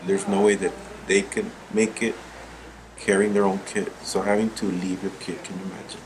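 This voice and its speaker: A man with a very deep voice speaks gravely and soberly. His delivery stays flat and level in pitch. A steady air-conditioner hum runs through the room around him. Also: moderate pace. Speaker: volunteer. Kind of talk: documentary interview.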